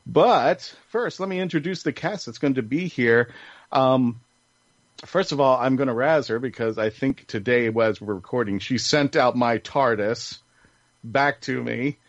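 A man's voice: mid-range voice, unhurried, no room sound. A man hosting a casual panel podcast, chatty and rambling, with enthusiasm. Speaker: podcast host. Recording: dull lo-fi sound.

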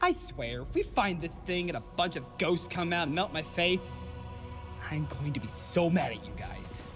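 A woman's voice: fairly low pitch, very animated, moderate pace. A woman playing an exasperated, easily alarmed adventuring companion in an audio drama, speaking emphatically. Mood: wry, wary. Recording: loud music under the voice.

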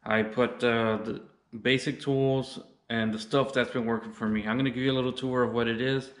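Flat-voiced man, relaxed fishing vlogger. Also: medium pitch, moderate pace. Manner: relaxed, plainspoken, says "y'all". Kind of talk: casual show-and-tell. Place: indoors, then a wooden deck.

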